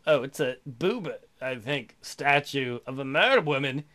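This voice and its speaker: A man's voice: medium pitch, lively intonation, measured pace, bright, forceful tone. A man hosting a let's play, reading a visual novel aloud and breaking in with irreverent, playful, mocking asides.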